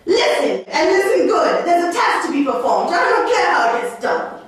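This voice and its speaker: A woman's voice: very high-pitched, very animated, normal speed. A woman playing an imperious exiled duke and magician, a father, declaiming theatrically in a stage puppet play. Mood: scornful, commanding. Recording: echoey room, distant microphone.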